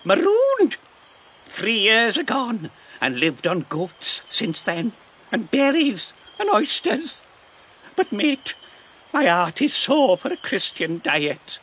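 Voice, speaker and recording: A man's voice: high voice, wildly swooping pitch, takes it slowly, fairly bright tone, a little hiss or hum, full character voice. A man playing a ragged, half-crazed old marooned sailor in an audio drama, rambling and wheedling in rustic pirate dialect. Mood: wistful, yearning. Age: older.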